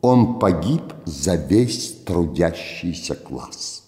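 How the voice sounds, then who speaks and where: A man's voice: deep voice, big pitch swings, drawn-out words, mellow, reverberant, in a large hall, heavily acted. An older man in his sixties voicing an Odessa gangster who gives a grand, declamatory speech at a graveside. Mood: solemn oratory. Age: 60s. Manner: rhetorical, declamatory oratory.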